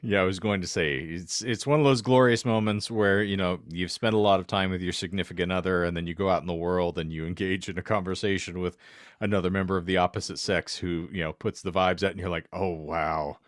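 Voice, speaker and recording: Man, deep voice, dry close sound, florid wordy phrasing, casual podcast host. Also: steady tone, a little fast, no echo. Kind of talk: casual chat.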